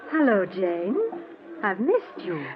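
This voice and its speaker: A woman's voice: medium pitch, very animated, takes it slowly, some chatter behind. A woman playing an alluring, mysterious young siren in a 1940s radio drama. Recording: dull 1940s radio recording.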